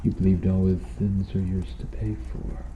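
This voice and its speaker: A man playing a stern medieval knight in an ASMR roleplay, questioning formally and earnestly with dramatic pauses. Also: deep voice, little pitch movement, roomy sound, phone-quality sound, a little hiss or hum, close to an everyday voice. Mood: solemn, insistent. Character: stern medieval knight interrogating an accused noble witch.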